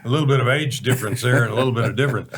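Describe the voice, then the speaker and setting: A man's voice: mid-range voice, very fast, slight background noise. Male host, seventies, American accent. Folksy, emphatic talk-show delivery, conversational, leans on repeated phrases. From Texas, United States. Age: 70s.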